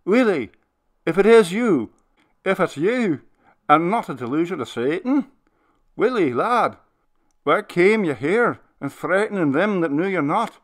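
A man's voice: high-pitched, expressive, unhurried, pitched above the performer's own voice. A man doing an old minister, impassioned. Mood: pleading. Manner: impassioned, sobbing, commanding. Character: old male minister pleading with a ghost.

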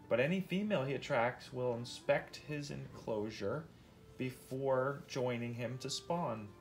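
A man reads a nature picture book aloud in an unhurried way, as a father would to his child. His pitch is mid-range, and music plays behind him. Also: darkish tone. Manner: explains and asks questions as he reads. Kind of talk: interactive read-aloud of a nonfiction picture book.

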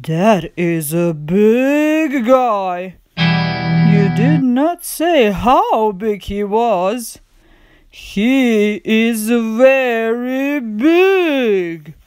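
A woman voicing an earnest, indignant male elephant who protects his friend, read from a children's storybook in a deliberate, emphatic way. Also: a little high, animated, darkish tone, clear, resonant tone, faint music behind. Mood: daunted.

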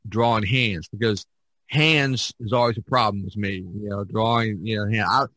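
A man hosting a casual podcast chat, speaking haltingly and often repeating his words. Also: on the low side, pushed and bright, no room sound. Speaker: podcast host.

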